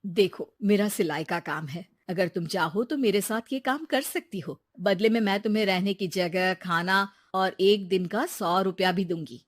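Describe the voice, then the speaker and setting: A woman's voice: mid-range voice, normal speed, hardly any pauses, lightly acted. A woman voicing a practical, frank tailoring-shop owner in a moral story. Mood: helpful.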